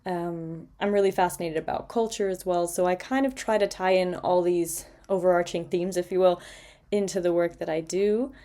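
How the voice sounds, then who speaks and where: Chatty woman in her late twenties, lower voice. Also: fairly even intonation, moderate pace, dark tone. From Portugal. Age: 20s. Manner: chatty, laughs often, many fillers. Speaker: co-host. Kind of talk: casual podcast chat.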